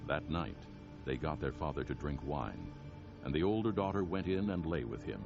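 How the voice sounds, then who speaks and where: Super deep bible narrator guy, solemn but animated delivery, music behind. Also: moderate pace, vocal fry, lo-fi sound. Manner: solemn storytelling. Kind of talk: scripted dramatic Bible narration.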